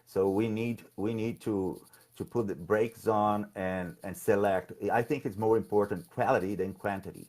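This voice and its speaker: A man from Brazil, a political candidate talking in a conversational interview, halting and often repeating his words. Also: fairly low pitch, fairly even intonation, a little mellow.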